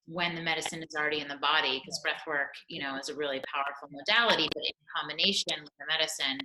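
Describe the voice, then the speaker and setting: A woman facilitator calmly explaining and affirming in a relaxed, conversational way. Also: deep voice, fast talker. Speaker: retreat facilitator. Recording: echoey room with faint noise.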